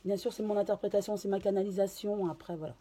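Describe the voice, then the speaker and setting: A woman with a hoarse voice from a cold, giving a casual card reading and channeling, with many hesitations and restarts. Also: medium pitch, fairly even intonation, fast talker, mellow, slight background noise. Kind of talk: casual tarot reading to camera.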